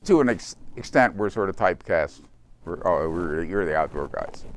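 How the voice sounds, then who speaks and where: Older man with a very low voice. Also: expressive, normal speed, faint music behind. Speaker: company founder. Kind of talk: reflective interview talk.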